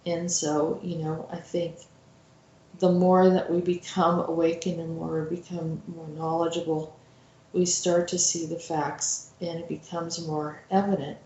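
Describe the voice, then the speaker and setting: A woman host giving informal spoken commentary in a reflective, halting manner. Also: low voice, flat delivery, darkish tone, dull audio, a little hiss or hum. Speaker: host introducing a story. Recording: roomy, echoing voice recording.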